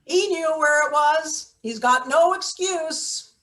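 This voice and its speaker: A woman's voice: high voice, slow, a bit bright. A woman playing a sardonic, hard older forewoman in a live play reading, with a dry, wordy, sarcastic manner. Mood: sardonic, scolding. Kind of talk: live table read of a satirical play.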